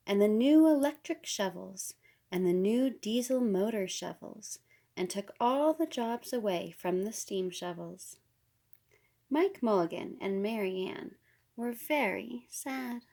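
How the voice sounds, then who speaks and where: A woman reading a children's picture book aloud as a storyteller, unhurried and with deliberate pauses. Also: mid-range voice, animated, dark tone. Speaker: storybook reader.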